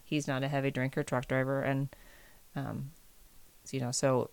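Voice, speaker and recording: A woman's voice: rumbling low, level tone, moderate pace, a little mellow, clean tone, dry recording. A woman on a podcast, talking about health in a casual, emphatic and insistent way. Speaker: podcast co-host. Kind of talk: casual chat.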